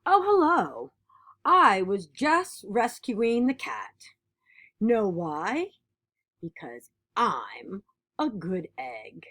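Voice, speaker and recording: A woman voicing an earnest, dutiful egg from a children's picture book, with expressive and deliberate storytelling. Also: mid-range voice, exaggerated voice. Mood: proud, cheerful.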